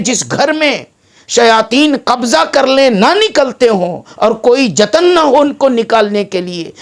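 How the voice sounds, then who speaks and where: High-pitched, slow, emphatic Indian preacher. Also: male voice, vocal fry, fairly bright tone. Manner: emphatic, oratorical, with deliberate pauses. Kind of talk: sermon.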